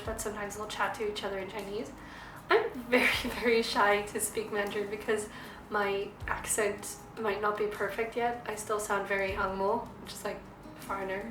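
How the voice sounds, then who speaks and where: Hoarse young woman vlogging chattily. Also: mid-range voice, background noise. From South Africa. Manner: chatty and upbeat, with laughs. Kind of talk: casual vlog talk to camera. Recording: echoey room.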